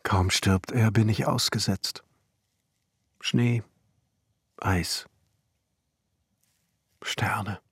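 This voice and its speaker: An actor playing a proud, brooding seventeenth-century alchemist and glassmaker in a poetic radio play, solemn and halting, often sinking towards a whisper. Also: male voice, on the low side, fairly even intonation, breathy edge, no echo, clean studio sound, exaggerated voice. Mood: bleak, brooding.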